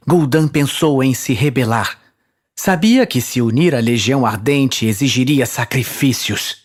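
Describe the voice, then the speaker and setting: A man with a Brazilian accent reading dramatic scripted fantasy narration as the storyteller. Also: medium pitch.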